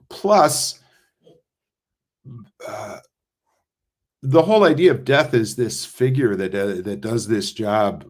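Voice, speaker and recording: An older man with a mid-pitched voice talks casually over a video call. He is reflective and halting, thinking aloud as a playwright discussing his work. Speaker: playwright in a post-show discussion.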